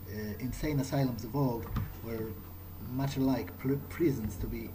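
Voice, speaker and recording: A man's voice: mid-range voice, noisy background. A man doing in-character video game commentary, musing aloud in a hesitant, wryly understated way. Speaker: let's play gamer. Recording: game music behind the voice. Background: video game soundtrack.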